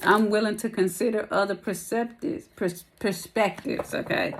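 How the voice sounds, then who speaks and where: A woman's voice: mid-range voice, steady tone, normal speed, heavy background noise. A woman giving a casual, rambling tarot reading to her viewers while losing her voice. She talks in slang and calls her listeners baby and y'all. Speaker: tarot reader.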